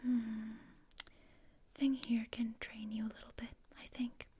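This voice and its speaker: A woman playing a morbid, bossy gothic teenage girl in a breathy, deadpan ASMR roleplay whisper, close to the microphone. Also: on the high side, measured pace. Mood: condescending. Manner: deadpan, dry, matter-of-fact. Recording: close-up with clear noise and clicks.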